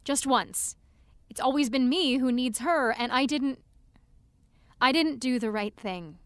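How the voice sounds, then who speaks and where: A woman's voice: high-pitched, measured pace, dry recording. A young woman playing an earnest, anxious, apologetic teenage girl in an audio drama, hesitant and rambling. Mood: hurt, confessional. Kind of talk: naturalistic audio drama acting.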